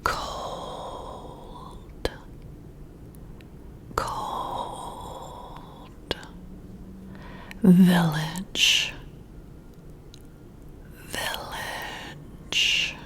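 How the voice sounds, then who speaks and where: A woman performs a whispered ASMR role-play, right up at the listener's ear. Her voice is a breathy, airy whisper, and she speaks extremely slowly. She guides the listener with gentle, soothing, deliberate instructions. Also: lowish voice. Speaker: ASMR creator role-playing a boss giving a personality test. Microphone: close-up ear-to-ear microphone.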